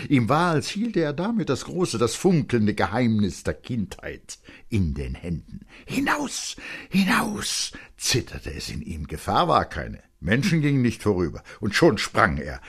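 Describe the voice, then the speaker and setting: A man reads literary fiction as a radio narrator. His voice is very low and husky, and he shapes his phrases deliberately, with expressive suspense.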